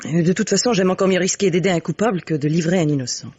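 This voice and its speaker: An actress playing a resolute, protective widow in a French radio mystery, husky and earnest, on a dull old recording. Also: female voice, on the low side, quick pace, darkish tone, no room sound. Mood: calm, reassuring.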